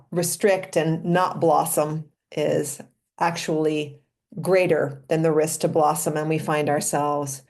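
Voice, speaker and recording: A woman leading a guided meditation in a gentle, unhurried manner, with pauses between short phrases. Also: sits low. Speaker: meditation leader and physician.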